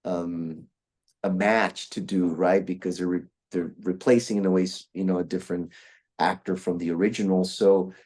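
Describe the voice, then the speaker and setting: A young man from Brazil talks casually in a somewhat low voice. He rambles and restarts often, filling gaps as he thinks aloud. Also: steady tone, normal speed, a little mellow. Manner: rambling, with many restarts and fillers. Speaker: guest voice actor. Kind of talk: casual interview chat.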